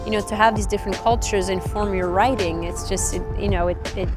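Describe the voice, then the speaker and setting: Woman over soft music. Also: medium pitch, steady tone, a little fast. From Switzerland. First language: French. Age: 30s. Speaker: interviewee, tech reporter. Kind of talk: reflective documentary interview. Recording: music playing underneath.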